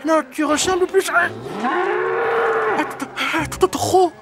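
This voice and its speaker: A man playing a jolly, hesitant old Santa Claus in an animated cartoon. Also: very high voice, takes it slowly, very noisy. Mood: excited, alarmed. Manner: hesitant, exclamatory, avuncular. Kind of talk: animated cartoon dub acting.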